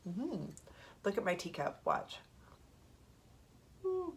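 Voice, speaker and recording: A woman's voice: mid-range voice, huge pitch swings, slow, a little hiss or hum. A woman in her thirties with a head cold, chatting casually to camera from a small apartment studio. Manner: chatty, rambling, with many ums and little laughs. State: congested from a cold. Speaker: knitting podcast host.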